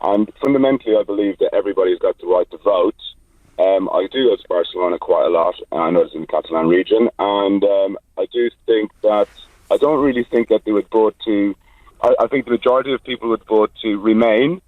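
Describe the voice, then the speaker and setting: A man calling a radio phone-in, hesitant and pausing with lots of ums. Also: lowish voice, dry recording. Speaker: caller. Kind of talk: phone-in call. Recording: tinny phone line.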